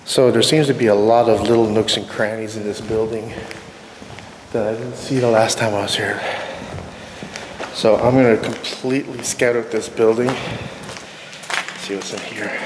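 A man's voice: fairly low pitch, steady tone, measured pace, pauses often. A man in his forties casually narrating to viewers as he explores, talking informally as host. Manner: informal, addressing viewers directly. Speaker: urban exploration vlogger, host. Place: inside an abandoned church, empty rooms and halls. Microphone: handheld camera at arm's length. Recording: noisy handheld camera audio in empty building.

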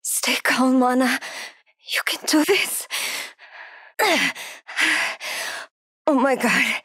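A woman playing a frightened, wounded young woman trapped and desperately trying to steady herself, talking to herself in dramatic solo voice acting. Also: high voice, unhurried, dry recording, studio quality, exaggerated voice. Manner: breathy pleading self-talk, drifting into whispers.

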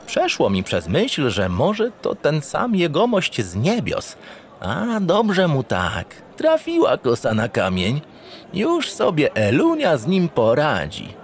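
An actor playing a naive, self-satisfied, doting spaceship captain who narrates a comic radio drama in an earnest, theatrical, pompous way. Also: male voice, fairly high pitch, huge pitch swings, slow pace, heavily acted. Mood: smug, adoring.

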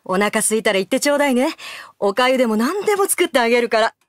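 A woman's voice: a little high, very animated, fast talker. A woman playing a fussy middle-aged aunt in an anime audio drama. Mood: sweetly solicitous.

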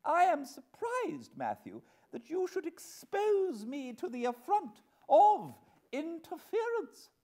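A man's voice: extremely high pitch, wildly swooping pitch, slow, dark tone, pitched above the performer's own voice, full character voice. A man voicing a haughty, easily affronted society mother in an audiobook, with an imperious, petulant and exclamatory delivery. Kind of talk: audiobook character voice.

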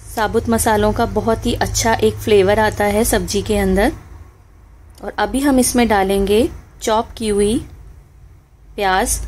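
A woman's voice: mid-range voice, a little mellow, a little hiss or hum. A woman hosting a home cooking channel, talking listeners through a recipe step by step in a friendly, instructive way. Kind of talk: home cooking tutorial voice-over. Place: home kitchen stove.

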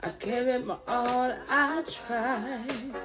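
A young woman with a deep alto voice practicing a song in her bedroom, singing along to a track and chatting to her viewers between lines. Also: steady tone, slow, over music. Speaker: vlogger learning a song. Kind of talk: casual singing practice vlog. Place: small bedroom indoors. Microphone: phone camera held close.

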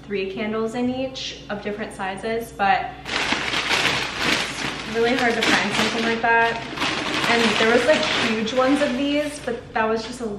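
A young woman with a slightly high voice talks casually to a handheld camera, thinking out loud and dropping "like" often. The recording picks up loud ambient noise around her. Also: steady tone, unhurried. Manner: chatty, thinking out loud, filler "like". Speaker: lifestyle vlogger. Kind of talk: casual vlog chat to camera. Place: apartment balcony, car and kitchen.

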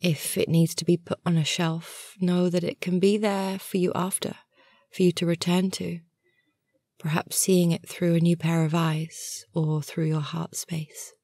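A woman guiding a meditation in a soothing, gentle and unhurried manner, soft and breathy at the ends of phrases. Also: lowish voice, flat delivery, no room sound, studio quality. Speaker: yoga nidra meditation guide, channel host. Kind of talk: guided meditation narration.

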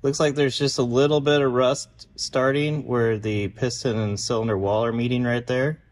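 A man in his thirties hosting a car restoration video, calmly and methodically explaining his work in a large indoor garage. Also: medium pitch, normal speed. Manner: calm, methodical, matter-of-fact. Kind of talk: explanatory workshop walkthrough.

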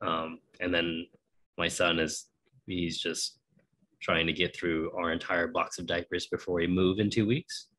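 Brief: male podcast co-host, low and bright-toned, stuffy nose, easygoing home-office video chat. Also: a little slow. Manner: relaxed, chatty, some filler hesitations. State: congested. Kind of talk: casual chat. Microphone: video call with desk microphone.

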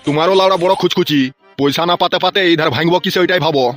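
A man's voice: on the high side, fairly even intonation, racing, a bit bright, lightly acted. A man voicing a crude, scolding old village grandfather in a comedy cartoon, with a rural West Bengal accent. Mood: gruff, bossy. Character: grumbling, foul-mouthed old village grandfather on a pension. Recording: music behind the voice.

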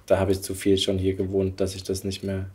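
A man's voice: low-pitched, monotone, a little fast, mellow, slight background noise. A man from Saxony in eastern Germany hosting a podcast, chatting casually and telling stories with a ready chuckle. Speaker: podcast host. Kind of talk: casual chat.

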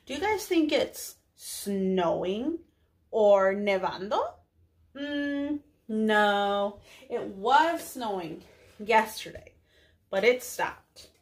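Very slow-speaking young woman teaching small children. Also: medium pitch, expressive. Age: young adult. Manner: deliberate, enunciated, questioning teacher talk for small children. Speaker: preschool teacher. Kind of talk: children's lesson, addressing kids, English with some Spanish words.

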